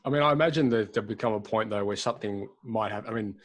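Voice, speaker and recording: This is a man hosting a podcast panel discussion. His voice sits fairly low. He asks curious, meandering questions, full of "I mean" and "you know.". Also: very fast, fairly bright tone. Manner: curious, rambling questions with many fillers. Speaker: podcast host.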